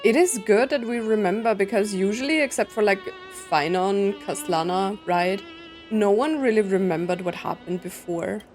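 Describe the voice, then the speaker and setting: A woman's voice: mid-range voice, moderate pace, a little mellow. A young woman streaming a story game, chatting casually and saying "like" and "right" a lot. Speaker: gameplay streamer. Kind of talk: casual reaction commentary. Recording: voice over game music.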